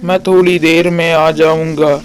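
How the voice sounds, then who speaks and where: Man as sly farmhand, flat and slow. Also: on the high side, faint music behind, natural voice. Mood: scheming. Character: sly, envious male farmhand plotting against his workmate.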